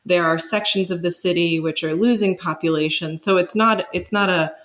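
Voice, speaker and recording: Low-voiced academic woman being interviewed. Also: fairly even intonation. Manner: measured, academic, qualifying her points. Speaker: podcast guest, city planning expert. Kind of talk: explanatory interview talk.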